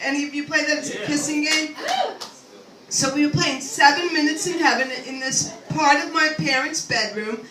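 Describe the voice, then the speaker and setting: A woman's voice: sits high, moderate pace, pushed and bright. An older woman doing a rambling stand-up comedy set, self-deprecating and full of chatty asides to the crowd. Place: comedy club stage. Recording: echoey room, mic at a distance, faint ambience.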